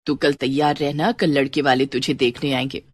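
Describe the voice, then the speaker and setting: A woman voicing a kindly middle-aged mother with a gentle, coaxing manner in a family story. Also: very deep voice, fairly even intonation, moderate pace, voice pushed down. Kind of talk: Hindi family-story dramatization.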